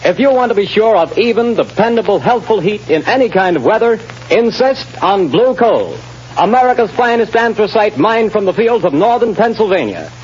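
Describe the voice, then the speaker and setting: High-pitched male vintage radio announcer. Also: expressive, normal speed, darkish tone, a little hiss or hum. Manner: emphatic, formal. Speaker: sponsor announcer. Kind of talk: 1930s radio announcing, scripted advertisement. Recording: dull old radio broadcast, music behind.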